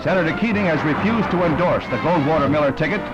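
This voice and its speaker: A man's voice: on the high side, fast, lo-fi sound. An American man reading scripted narration in the style of a 1960s newsreel. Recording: band-limited vintage newsreel film, loud music bed. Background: music.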